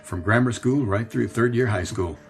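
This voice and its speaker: A man's voice: medium pitch, moderate pace, close to an everyday voice. A man playing a weary, nostalgic middle-aged businessman who muses wistfully in a radio drama. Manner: wistful, musing.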